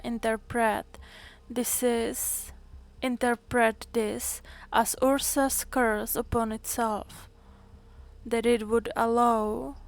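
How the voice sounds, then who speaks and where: A woman streamer reads game notes aloud at a slow, deliberate pace. Her voice sits a touch high and stays level. She now and then goes back over a word as she reads. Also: gravelly. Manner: slow, careful reading with small restarts.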